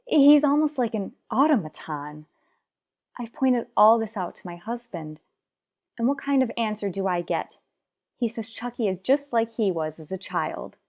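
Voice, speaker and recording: A woman playing an anguished, unhappily married mother in a radio soap opera, speaking earnestly with dramatic pauses. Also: mid-range voice, lively intonation, darkish tone, natural voice. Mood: troubled, pleading.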